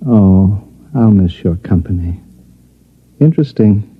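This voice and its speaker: An actor playing a cold, gloating gunman villain with a husky voice and mock-polite menace, in 1950s radio style. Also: on the low side, animated, unhurried. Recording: dull, muffled old radio broadcast.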